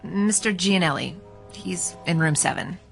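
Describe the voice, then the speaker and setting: A woman's voice: fairly low pitch, unhurried, creaky, fairly bright tone, soft background music. A woman acting in a radio drama as a helpful, polite and matter-of-fact clinic receptionist. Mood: polite, puzzled. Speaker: actor.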